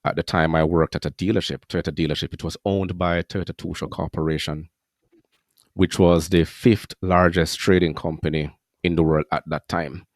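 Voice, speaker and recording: A man with a very deep voice hosts a casual interview podcast. His conversational delivery is recorded close and dry, with almost no room sound. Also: steady tone, normal speed, no echo. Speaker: podcast host.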